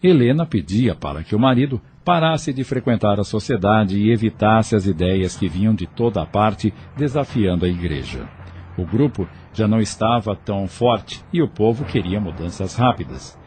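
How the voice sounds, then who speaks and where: Low-voiced, expressive male storyteller. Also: normal speed, a little hiss or hum. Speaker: narrator. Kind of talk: scripted dramatic audio novel narration.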